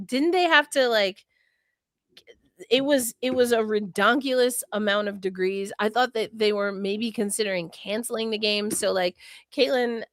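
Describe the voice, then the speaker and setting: A woman's voice: mid-range voice, normal speed, a bit bright, no echo, studio quality. A woman hosting a sports talk show, blunt and exasperated, rambling through her asides. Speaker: podcast host. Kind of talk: casual sports chat.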